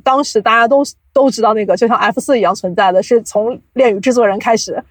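A woman's voice: a little high, fast, no room sound. A woman explaining at length, with examples, in a casual podcast interview as an invited guest. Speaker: guest, audio drama producer.

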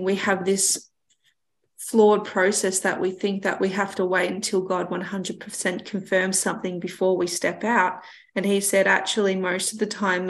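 A woman interviewer in a casual conversation about faith, speaking in an encouraging, affirming way. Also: on the low side.

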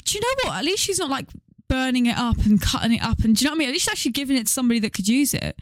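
A woman's voice: high-pitched, very animated, very fast, dry recording. A young woman co-hosting a podcast, chatting casually in a playful, questioning way. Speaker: podcast co-host. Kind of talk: casual chat, reacting to stories.

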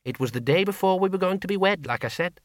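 A man voicing a sharp-tongued older spinster who runs a farm, talking bluntly in country speech. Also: sits high, lively intonation, dry recording, clean studio sound, pitched above the performer's own voice. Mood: wistful. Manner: brisk, blunt country talk. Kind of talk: audiobook character voice.

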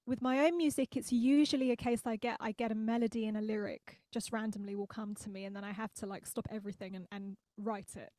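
A woman's voice: medium pitch, fairly even intonation, quick pace, hardly any pauses, darkish tone, no echo. A young adult woman singer-songwriter chatting in an interview from her home studio, laughing often and sounding grateful. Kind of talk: casual interview chat. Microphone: studio mic.

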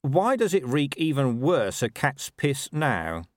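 Man playing a weary watch boss, dry, sardonic questioning, lively delivery. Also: mid-range voice, slow, no echo, voice pushed up. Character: weary, dry-witted male watch commander.

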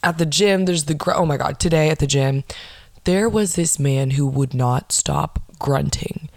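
A young woman hosting a podcast, ranting casually with lots of swearing and sarcastic mock quotes, with a low, non-nasal voice. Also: moderate pace, vocal fry, a little mellow, no room sound, clean studio sound. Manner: sarcastic, swears a lot, acts out mocking quotes. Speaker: podcast host.

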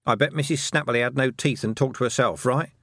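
A man's voice: medium pitch, fast, fairly bright tone, no echo, pitched above the performer's own voice. Man as a shrewd, dry-witted witch woman. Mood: sardonic. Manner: dry, knowing, clipped questions. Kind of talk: audiobook character dialogue.

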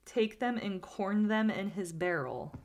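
A woman's voice: mid-range voice, animated, unhurried, a little mellow. A woman co-hosting a podcast, telling stories casually with chatty asides. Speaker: podcast co-host.

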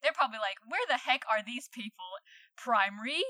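A young woman from New Zealand with an American-leaning accent, co-hosting a podcast in excitable, slangy chat. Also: fairly high pitch, fairly quick, no echo. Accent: New Zealand with American lean. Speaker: podcast co-host. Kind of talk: casual chat.

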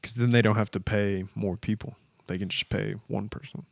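A man co-hosting a casual podcast, laid-back and quick to agree. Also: fairly low pitch, fairly even intonation, creaky, no room sound, studio quality. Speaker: podcast co-host. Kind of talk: casual chat.

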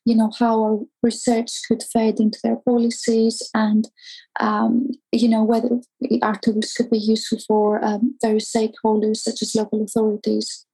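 A woman from Greece with a Greek accent, an academic guest explaining things thoughtfully and carefully, with hesitant pauses. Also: a little high, monotone, warm tone, poor audio. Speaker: academic researcher, interview guest.

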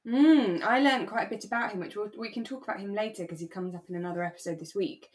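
A woman co-hosting a podcast in relaxed, chatty conversation about a radio drama. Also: medium pitch, fast. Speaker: podcast co-host.

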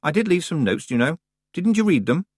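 A reader voicing a sensible, sharp-tongued young girl witch, level and reproachful. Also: medium pitch, big pitch swings, fairly quick, breathy edge, no room sound, studio quality, voice pushed up. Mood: matter-of-fact.

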